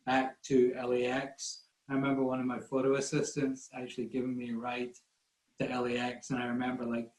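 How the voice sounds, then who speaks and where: A man's voice: medium pitch, darkish tone. A man from Scotland with a Scottish accent, a guest photographer telling rambling, self-deprecating stories in a casual online talk. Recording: roomy echo.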